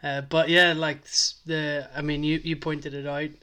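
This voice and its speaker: A man chats casually as a podcast host, rambling through his thoughts with plenty of "like" and "uh". His voice sits a touch high but stays flat in melody. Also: unhurried, clear, resonant tone. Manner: rambling, filler-heavy, enthusiastic. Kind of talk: casual chat.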